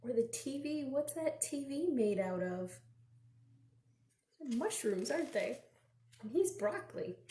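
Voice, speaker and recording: A woman's voice: sits high, lively intonation, measured pace, pauses often, warm tone, a little hiss or hum. A woman children's librarian reading aloud expressively to small children, prompting them with simple questions. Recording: roomy, echoing indoor recording.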